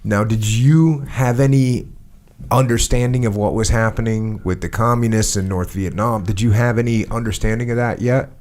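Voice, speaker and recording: Patient low-voiced male podcast host. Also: fairly even intonation, moderate pace, a little mellow. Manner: patient, plain-spoken questioning. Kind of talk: interview questions.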